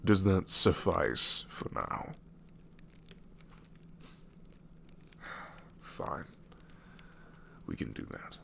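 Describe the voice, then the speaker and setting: A man's voice: low-pitched, expressive, slow, vocal fry, pushed and bright, a little hiss or hum, full character voice. A man voicing a sassy, exasperated ancient male shadow demon in an ASMR roleplay, sarcastic and incredulous. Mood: reluctant soft.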